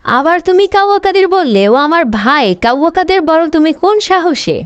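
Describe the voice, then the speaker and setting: High-pitched bossy cartoon grandmother voiced by a woman. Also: expressive, fairly quick, lo-fi sound. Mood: scolding. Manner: scolding, melodramatic, gushing over her brother. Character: bossy elderly grandmother politician, doting sister, nagging wife. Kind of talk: comic cartoon dubbing. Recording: noisy amateur cartoon dub with clicks.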